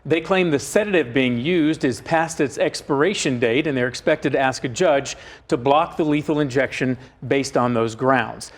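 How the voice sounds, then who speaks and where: A man's voice: medium pitch, moderate pace. A man reading scripted TV news as an anchor.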